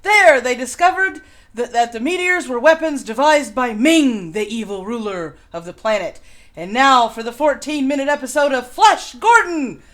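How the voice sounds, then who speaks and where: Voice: high voice, lively intonation, normal speed, a bit bright. A host introducing an old radio show, mixing casual chat with a read-out summary.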